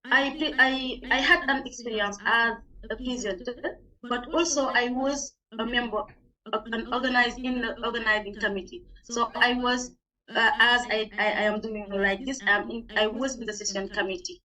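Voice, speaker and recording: A woman from Senegal with a Senegalese accent, a non-native English speaker, gives a halting, informal presentation over an online meeting as a teacher on a panel. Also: on the high side, fairly even intonation, crowd noise. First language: not English. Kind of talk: informal spoken presentation of own experience. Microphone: video call. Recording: distant online meeting microphone.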